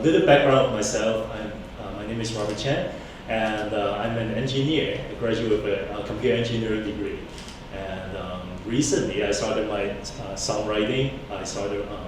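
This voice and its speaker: Halting Cantonese-speaking man from afar. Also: on the low side. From Hong Kong. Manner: halting, many fillers, polite. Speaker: engineer and songwriter reading poems. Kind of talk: event introduction. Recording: distant, echoey, noisy room recording.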